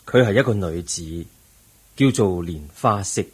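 An actor playing a calm, all-knowing Buddha in a Cantonese radio drama, solemn and compassionate, with a breathy voice and a Hong Kong accent. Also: male voice, low-pitched, a little slow, heavily acted.

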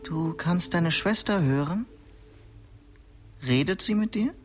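An actor playing a calm male sleep specialist who speaks in a measured, guiding way in a radio drama. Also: high voice, faint music behind. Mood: soothing, guiding.

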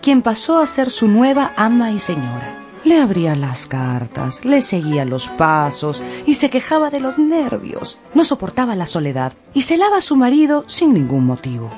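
Animated woman narrator over music. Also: fairly high pitch, moderate pace. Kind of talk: dramatised storytelling narration for radio.

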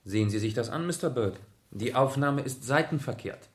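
An actor playing a courteous, inquisitive gentleman detective in a radio play, polite and measured. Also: medium pitch, expressive, close to an everyday voice. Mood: polite, probing. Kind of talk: 1970s German radio play acting.

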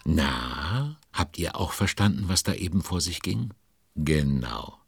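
A man narrating a children's audio drama, chatting chummily to young listeners in a husky voice. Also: very deep voice, sing-song, takes it slowly, slight background noise. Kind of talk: scripted storytelling for children.

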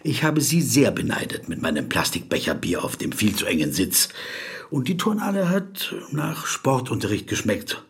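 A man's voice: medium pitch, sing-song, normal speed, husky, exaggerated voice. A man acting a pedantic, brooding, awkward corporate lawyer in a German radio drama, fussy and dryly ironic in his digressions. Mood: dryly wistful. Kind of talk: German radio drama, dialogue and inner monologue.

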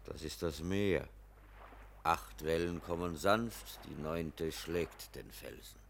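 An actor playing a slow, ominous, earthy gardener in a literary radio play, speaking gravelly and deliberately. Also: male voice, a bass voice, fairly bright tone, a little hiss or hum, heavily acted. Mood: somber, cryptic.

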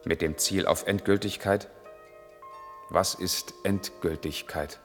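Deep-voiced brooding man, long reverb. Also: steady tone, takes it slowly, a bit bright, full character voice. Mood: subdued, intimate. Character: brooding male lover, an East German intellectual, torn and evasive. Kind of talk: 1980s German radio play acting, measured narration and intimate dialogue. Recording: long reverb, music in the mix.

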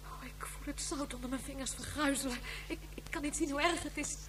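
A very high-voiced woman in a science fiction radio drama, gripped by claustrophobic panic. Her delivery is breathless and wailing, heard from a little distance over a faint hiss. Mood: anxious, oppressed.

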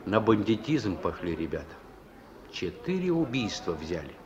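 An actor playing a weary, stern but fatherly police chief who is short of breath and speaks slowly, with sighs, in a Soviet radio drama. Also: fairly low pitch, big pitch swings, a crowd in the background. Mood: sorrowful, reproachful. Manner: slow, sighing, reproachful. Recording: dull old radio recording, music behind.

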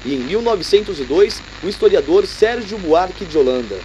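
Morning radio announcer: very high male voice, formal bulletin delivery, faint noise behind. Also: animated, brisk, dark tone, low-quality recording. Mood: announcing. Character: brisk morning radio newsreader. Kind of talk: radio news bulletin reading.

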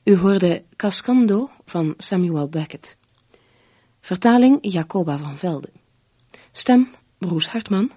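Female announcer for formal scripted radio credits; husky, unhurried, close dry sound. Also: on the low side, lively intonation, mellow, dry recording.

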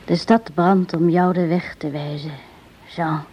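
A woman's voice: lowish voice, fairly even intonation, measured pace, slightly rough. An actress playing a brave, devoted woman in grief in a radio drama, with solemn, theatrical phrasing. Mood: solemn resignation. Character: grieving devoted woman, brave, tragic, resigned. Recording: muffled old radio drama recording.

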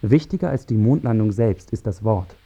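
A man's voice: on the low side, animated, normal speed, vocal fry, warm tone, husky, highs cut off, heavily acted. An actor playing a man who expounds in emphatic declarations in a scripted radio drama. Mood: declarative. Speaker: radio play speaker. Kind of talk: scripted German radio drama, essayistic narration.

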